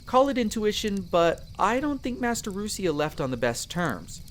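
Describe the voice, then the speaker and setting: High, lively young elf explorer played by a man. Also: slight background noise. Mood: amused, wary. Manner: chatty, explanatory, with hesitant pauses. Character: friendly, earnest young male elf explorer of mixed parentage. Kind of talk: character roleplay audio addressed to the listener.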